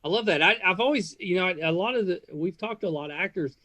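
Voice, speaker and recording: A man hosting a casual podcast interview, speaking in a halting, rambling and effusive way. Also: high-pitched, bright, forceful tone, no room sound.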